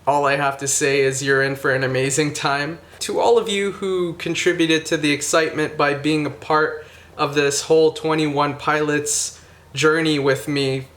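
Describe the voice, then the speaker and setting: A man with a slightly high voice, speaking casually and with real enthusiasm. He is a vlog host talking straight to his viewers, friendly and thankful in tone. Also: a bit bright. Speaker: YouTube reaction channel host. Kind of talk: casual vlog recap to camera.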